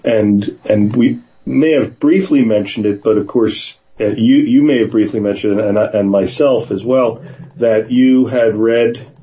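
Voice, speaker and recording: A man in casual conversation, speaking in a hesitant, halting way, sometimes reading aloud. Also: on the low side, highs cut off. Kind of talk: casual conversation with some read-aloud passages.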